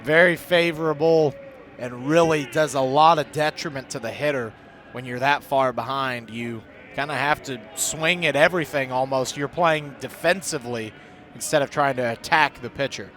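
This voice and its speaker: A man's voice: medium pitch, moderate pace, fairly bright tone, a little hiss or hum. A man giving conversational, explanatory color commentary on a live baseball radio broadcast. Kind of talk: conversational live sports analysis. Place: outdoor ballpark broadcast.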